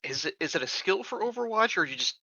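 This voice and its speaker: A man with a very bright, sharp voice talks casually as a player in a tabletop game. His pitch is average, and the sound is close and dry with a lo-fi dullness. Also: very animated, fast talker, no echo.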